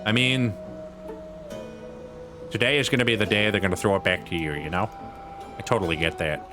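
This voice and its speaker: A man's voice: lowish voice, fairly quick. A man streaming a video game and chatting casually, rambling with restarts and self-deprecating asides, over game music. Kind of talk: casual chat while gaming.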